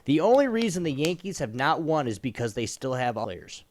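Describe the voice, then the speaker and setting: A man's voice: medium pitch, very animated, fairly quick. Blunt man in casual sports chat. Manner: blunt, informal, emphatic. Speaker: podcast co-host.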